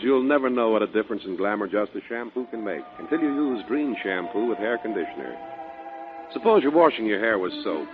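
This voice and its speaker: A man with an American accent announcing in a polished, emphatic 1940s radio commercial style. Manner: polished, emphatic sales pitch. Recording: tinny 1940s radio broadcast, music behind.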